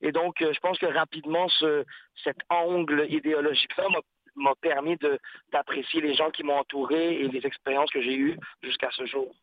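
A reflective man in a relaxed interview chat, his voice slightly high for a man. He often restarts his sentences while he searches for words. The sound comes through dry and tinny, like a phone line. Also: moderate pace, no echo. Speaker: guest artist, rapper and painter. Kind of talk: casual interview conversation.

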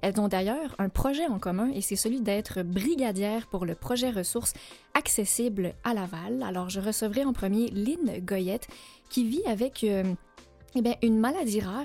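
Gentle-voiced woman hosting. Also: mid-range voice, normal speed, faint music behind. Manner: gentle, hesitant with many fillers. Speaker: radio show host. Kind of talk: interview show host.

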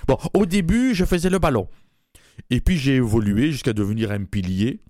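A man's voice: medium pitch, expressive, normal speed, darkish tone, no room sound, clean studio sound, close to an everyday voice. A man voicing a brash, mannish woman from Toulouse, a former rugby player, with a southern French accent, bawdy and punning, chuckling at his own jokes in a radio comedy sketch. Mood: cheeky.

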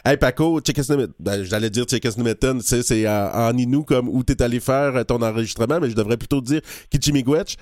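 A man hosts a radio interview, asking his questions in a friendly, relaxed way. His voice sits at a medium pitch in a dry, close studio sound. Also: brisk, few pauses, no room sound. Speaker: radio host.

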